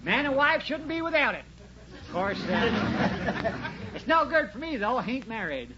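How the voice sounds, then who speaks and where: An actor playing a chatty, droll small-town barber in 1940s radio comedy, repeating himself and tagging on afterthoughts. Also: male voice, extremely high pitch, big pitch swings, a little slow, a loud crowd, exaggerated voice. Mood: amused, rambling. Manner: rambling, repeats himself, tags jokes with "though". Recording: muffled, faintly noisy 1940s radio recording.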